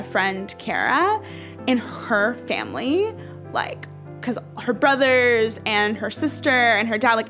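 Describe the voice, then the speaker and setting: A woman's voice: on the high side, fairly bright tone. A young woman from Ethiopia speaking in a casual, earnest, reflective way, filling her talk with "like". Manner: earnest, says "like" constantly. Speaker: interviewee.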